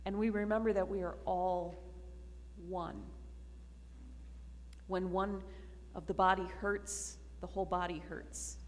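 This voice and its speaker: A woman pastor giving a slow, earnest communion sermon to her congregation in a church. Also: fairly low pitch, steady tone. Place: church sanctuary. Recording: reverberant church hall.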